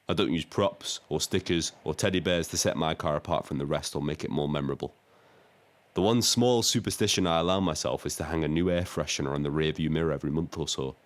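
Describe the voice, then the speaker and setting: An actor playing a lost, lonely taxi driver who narrates his audio drama in a measured, brooding, literary way. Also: rumbling low. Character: lost, lonely male Brighton taxi driver, wryly resigned. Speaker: narrator and lead character. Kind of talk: scripted first-person audio drama narration.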